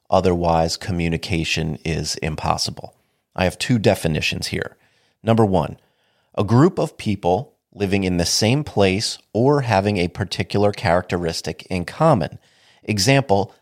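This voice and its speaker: A man with a low, deep voice hosts a podcast on his own. He talks in a casual, wry way and sounds a bit sniffly from allergies. The sound is close and dry, with no room around it. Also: no echo. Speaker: podcast host. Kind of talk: solo podcast monologue.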